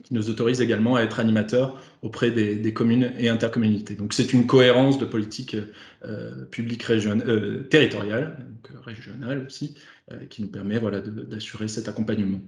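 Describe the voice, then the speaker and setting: A man's voice: lowish voice, steady tone, quick pace, darkish tone. Man moderating, in a roomy echo. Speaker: host and facilitator of an online webinar. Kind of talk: semi-formal webinar moderation. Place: remote video conference. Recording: roomy, echoing online call.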